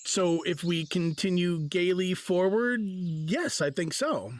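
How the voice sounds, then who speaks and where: A man's voice: high voice, slow pace, dry recording. A man playing a bewildered, exasperated husband in a fantasy audio drama, flustered and sarcastic. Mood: wry, teasing. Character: exasperated, bewildered, wry husband lost in magical forest.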